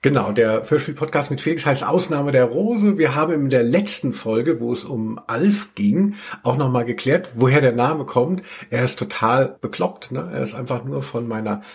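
A man's voice: medium pitch, animated, normal speed, gravelly, few pauses, husky. A man co-hosting a casual comedic podcast in a playful, tongue-in-cheek way. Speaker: podcast co-host.

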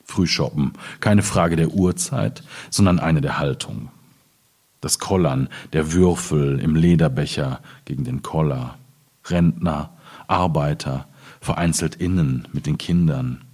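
A man from Germany's Ruhr region, a poet at a literary reading, speaking in a husky, deliberate, measured way. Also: rumbling low, a little mellow. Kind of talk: poetry reading with informal commentary.

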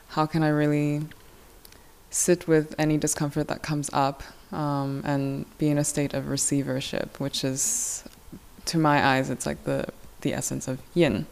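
A young woman from South Korea speaks slowly and unhurriedly in a low, calm voice. She is a podcast guest, a yoga teacher, and she pauses often on fillers. Now and then she gives a soft laugh between her thoughts. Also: smooth. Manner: slow, hesitant, many fillers and soft laughs. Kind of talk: casual podcast interview.

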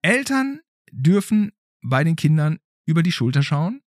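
A man's voice: on the high side, sing-song, a little slow, no room sound, clean studio sound. A man hosting a casual podcast in a playful, conversational way, often adding questioning tags. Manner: playful, hesitant phrasing with rhetorical tag questions. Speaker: podcast host.

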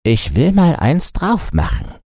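Cheeky husky raven, put on by a man. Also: a little high, wildly swooping pitch, slow, warm tone, no echo, clean studio sound, pitched above the performer's own voice, heavily acted. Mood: self-satisfied. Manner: impudent, self-satisfied.

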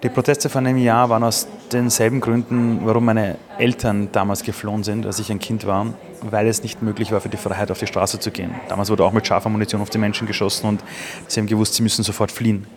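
A man's voice: fairly low pitch, flat delivery, quick pace, crowd noise. A man in his forties who was born in Iran, giving earnest, impassioned answers as an entrepreneur in an interview. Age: 40s.

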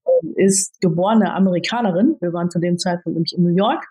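A woman in her forties, born in the Philippines and raised in Germany, answering interview questions in a measured, thoughtful way with frequent fillers. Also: fairly low pitch, animated, warm tone, husky, low-quality recording. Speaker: podcast guest, tech executive.

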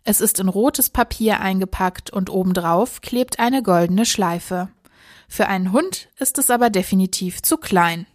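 A woman's voice: mid-range voice, unhurried, lightly acted. A woman performing as the narrator of a children's radio play, telling the story from a script. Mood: narrating.

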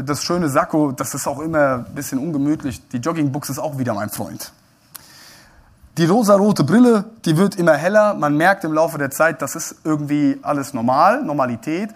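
Male keynote speaker, slightly high voice, playful, quizzes the crowd from the stage. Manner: lively, joking, addresses the audience directly with questions. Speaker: keynote speaker at a brokers' congress. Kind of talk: live stage talk to an audience. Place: congress stage before an audience.

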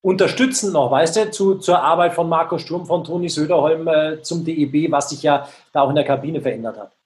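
A man's voice: a little high, a little fast, a little mellow. A man co-hosting a podcast, casually working his way through a question with hesitant repetitions. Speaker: podcast co-host. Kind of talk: casual interview chat.

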